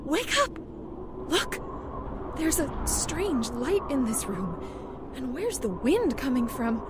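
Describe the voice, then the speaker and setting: An actress playing a frightened wife in a haunted house in an old-time radio horror play, melodramatic and alarmed. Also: female voice, sits high, big pitch swings, slow pace, exaggerated voice. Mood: uneasy, alarmed. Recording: loud wind-like noise over the voice.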